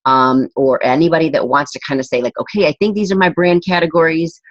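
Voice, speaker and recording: A woman's voice: deep voice, steady tone, fast, dry recording. Emphatic woman leading a video call. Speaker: team leader hosting a video call. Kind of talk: encouraging group call chat. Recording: dull video call audio.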